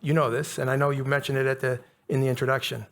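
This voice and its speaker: A man's voice: medium pitch, flat delivery, quick pace, gravelly. A middle-aged North American man giving a conversational, emphatic public talk to a live audience. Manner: conversational, emphatic, repeats key words.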